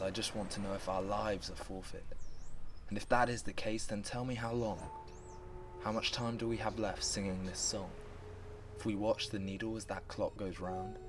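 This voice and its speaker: Male actor as troubled mortal in a poetic drama: lowish pitch, earnest, measured rhyming verse over music. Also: natural voice. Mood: pleading. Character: troubled, earnest mortal man questioning Death. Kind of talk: rhymed poetic monologue.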